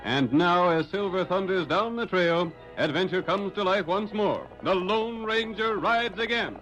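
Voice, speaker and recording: A man's voice: sits high, unhurried, a bit bright. An American man narrating a radio western in a dramatic, scripted old-time announcer style. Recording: dull vintage radio recording. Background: music.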